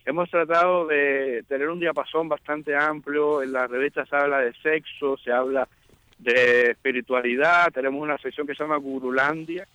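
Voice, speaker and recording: Male radio guest, chatty, a bit high, dry studio. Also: steady tone, normal speed, bright, forceful tone, clear, resonant tone, no room sound, poor audio. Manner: chatty, restarts often. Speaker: magazine editor, guest. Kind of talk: casual radio interview.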